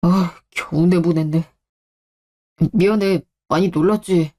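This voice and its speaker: A woman's voice: on the low side, slow, warm tone. A woman playing a protective young husband, earnest and firm, in a radio drama. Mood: protective, reassuring. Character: protective young husband, caring but exasperated with his mother. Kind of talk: Korean radio drama acting.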